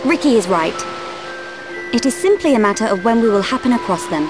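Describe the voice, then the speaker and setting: A woman's voice: a little high, big pitch swings, a little fast. A woman playing a proud, reserved young noblewoman in a video game, with formal, precise phrasing. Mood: composed. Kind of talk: video game cutscene voice acting. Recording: loud music behind the voice.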